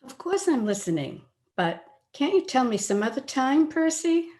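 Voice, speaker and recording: A woman playing a self-possessed, gently frank and teasing young woman in old-time radio drama style. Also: sits high, big pitch swings, normal speed. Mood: light, evasive. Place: at home, recorded over a video call.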